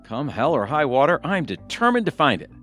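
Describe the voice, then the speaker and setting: A man's voice: a little high, big pitch swings, fairly quick, fairly bright tone, faint music behind. A man playing a cheerful, goofy husband in a comic audio play, with earnest, exaggerated delivery. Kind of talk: comic audio theatre acting.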